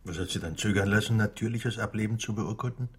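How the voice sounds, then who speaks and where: A persistent male investigator in a radio drama. His voice is fairly low with a rough, husky grain, and he probes deliberately and insistently. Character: persistent, probing male investigator questioning a doctor. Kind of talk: German radio drama dialogue.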